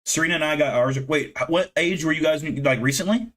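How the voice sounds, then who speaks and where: A man's voice: a little high, fairly quick, fairly bright tone. A man in his thirties chatting casually with viewers on a live stream, rambling from one thing to the next and swearing freely. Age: 30s. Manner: rambling, profane, self-deprecating.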